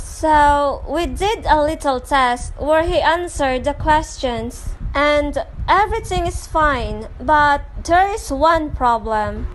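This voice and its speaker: A woman's voice: sits high, slight background noise, poor audio. A woman giving a scripted dramatic reading for a fan comic dub, voicing the dialogue and narration herself.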